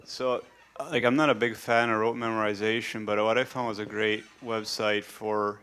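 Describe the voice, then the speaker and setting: A man with a low voice and an extremely flat, even delivery asks a question from the floor of a lecture hall. He hesitates a little over his words as he goes. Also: normal speed, smooth. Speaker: audience member.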